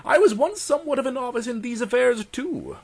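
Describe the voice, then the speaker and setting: Pompous gentleman adventurer, theatrically swooping. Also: very high voice, lively intonation, fairly quick, slightly rough, voice pushed up, heavily acted. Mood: indignant reminiscing. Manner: pompous, theatrical, elaborately formal. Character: pompous, stuffy Edwardian English gentleman adventurer, easily affronted. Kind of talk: pulp adventure audiobook narration in character.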